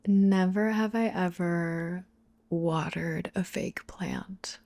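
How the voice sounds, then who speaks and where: A woman with a breathy, whispery voice, improvising an ASMR roleplay as casual, teasing banter. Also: lowish voice, fairly even intonation, takes it slowly, mellow. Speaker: ASMR roleplay performer playing sisters.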